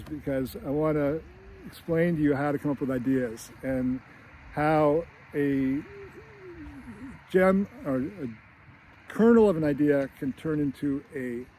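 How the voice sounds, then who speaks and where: A man's voice: fairly high pitch, a little mellow, a little hiss or hum, low-quality recording. A middle-aged man with a Boston accent, a screenwriter talking casually to camera outdoors near a beach, rambling and chatty with frequent restarts. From United States. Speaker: screenwriter and teacher.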